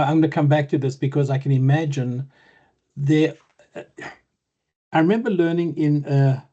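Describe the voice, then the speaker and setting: A man hosting an interview, thinking aloud in a halting way and repeating words as he goes. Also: fairly high pitch, mellow. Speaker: interview host. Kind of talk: conversational interview. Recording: muffled lo-fi audio.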